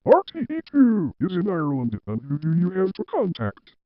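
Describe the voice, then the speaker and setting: A man's voice: high voice, wildly swooping pitch, normal speed, dry recording. A man playing a stubborn, argumentative office employee in an amateur drama, emphatic and repetitive. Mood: exasperated correcting. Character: defiant, stubborn male office employee who argues back. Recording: dull, dry lo-fi audio.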